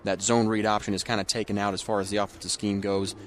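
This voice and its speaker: Male football color analyst, quick and on the low side, breaking down play over faint ambient noise. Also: flat delivery. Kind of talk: live sports commentary, analysis.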